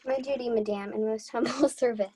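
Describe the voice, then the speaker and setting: A woman's voice: fairly high pitch, steady tone, a little fast, mellow, lightly acted. A woman playing an earnest young servant in disguise, speaking formally and courteously in a Shakespeare radio play. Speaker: student actor.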